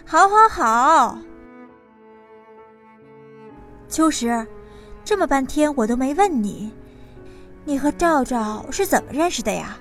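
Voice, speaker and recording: A woman playing a wealthy middle-aged mother, bossy and brisk but doting, in an audio drama. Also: sits high, big pitch swings, fairly bright tone, soft background music, heavily acted. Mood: delighted, warm.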